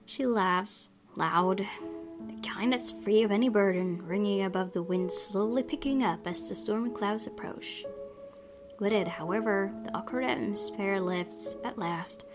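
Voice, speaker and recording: A woman's voice: medium pitch, measured pace. A woman hosting a gaming video, reading story text aloud expressively with casual, informal reactions. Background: game music.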